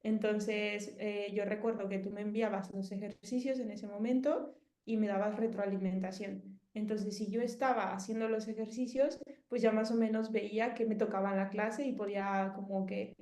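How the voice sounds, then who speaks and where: A woman in her thirties with a Mexican Spanish accent talks through a casual testimonial interview. She rambles, stacks up fillers and restarts her sentences. Her voice sits in a middle pitch and carries a roomy echo. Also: steady tone, normal speed, warm tone. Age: 30s.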